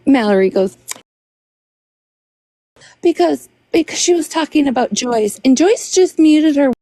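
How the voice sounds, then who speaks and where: A woman's voice: high-pitched, expressive, measured pace, dark tone, no room sound. A woman chatting casually as a panelist on a gossip livestream.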